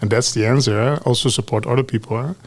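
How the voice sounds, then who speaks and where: A man's voice: mid-range voice, fast. A man in his forties with a Dutch accent speaks easily, answering like a guest in a podcast interview. He often tucks a questioning "eh?" or "huh?" onto the end of a point. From Netherlands. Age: 40s. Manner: relaxed, tags sentences with "huh?" and "eh?". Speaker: guest, talent lead. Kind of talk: podcast conversation.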